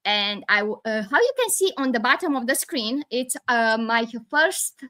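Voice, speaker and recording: A Romanian woman in her forties hosts a live video call, her speech animated but halting, full of restarts and little laughs. Her voice sits fairly high and rings bright and forceful through the call audio. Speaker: host.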